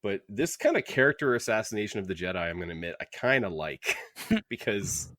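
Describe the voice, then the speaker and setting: A man's voice: fairly low pitch, expressive, a little fast, fairly bright tone, dry recording, clean studio sound. A man co-hosting a podcast, chatting casually and offering opinions with joking asides. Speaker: podcast co-host. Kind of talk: casual book club discussion.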